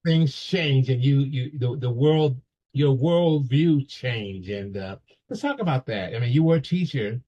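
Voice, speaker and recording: A man's voice: mid-range voice, animated, a little slow, warm tone, breathy edge. A man hosting an interview, asking questions in a loose, repetitive way. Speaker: interview host. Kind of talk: conversational interview. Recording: dull lo-fi audio.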